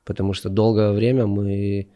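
A man's voice: lowish voice, level tone, moderate pace, dark tone. A man being interviewed about his music past, talking casually with many fillers and restarts. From Ukraine. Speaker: guest musician.

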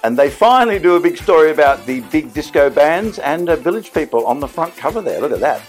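A man's voice: a little high, very animated, brisk, soft background music. A man hosting a film-night special, chatty and enthusiastic, with playful asides. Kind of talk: casual upbeat presenting.

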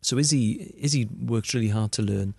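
A man's voice: mid-range voice, normal speed, gravelly, a little mellow, slightly rough, dry recording. A Welsh man who grew up speaking Welsh, talking as a comedian guest in an interview, chatty with lots of restarts and asides. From Wales. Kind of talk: conversational interview answers.

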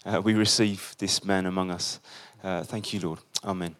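A man leading a spoken prayer in a church service, halting, with many fillers. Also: low-pitched, steady tone, fairly bright tone.

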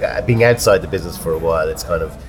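A man with an Irish accent hosting a casual pub chat, chatty and full of animated anecdotes. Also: low voice, very fast, a crowd in the background. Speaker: podcast host.

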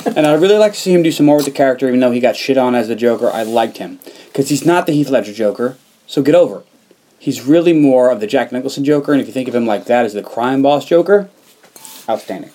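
Fast, brash young man hosting a podcast. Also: mid-range voice, expressive, dark tone. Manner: brash, swears freely, prods others to talk. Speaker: podcast host. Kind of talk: casual chat.

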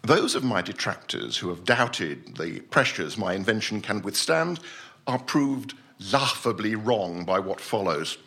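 Man playing a pompous, husky scientist-explorer. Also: lowish voice, expressive, sharp tone, full character voice. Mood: boastful, proud. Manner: pompous, formal, deliberate, theatrical. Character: pompous, self-deluded male scientist-explorer dictating a voyage report. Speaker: actor reading a story on stage. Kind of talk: dramatic first-person short story reading at a live night.

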